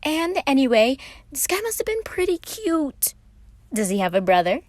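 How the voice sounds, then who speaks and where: A woman puts on a gentle giant of a big brother for an audio story. The voice sits high and gushes with sweet, teasing affection. The recording is close and dry. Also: sing-song, moderate pace, dry recording. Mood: excited, affectionate. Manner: gushing, affectionate.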